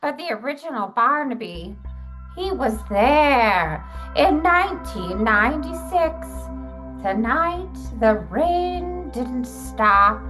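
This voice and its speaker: A woman playing a frantic, guilt-ridden old mother in a halting, dramatic read for a live comedy script show. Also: sits high, animated, a bit bright, soft background music, full character voice, rough recording. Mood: wounded, defiant. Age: older.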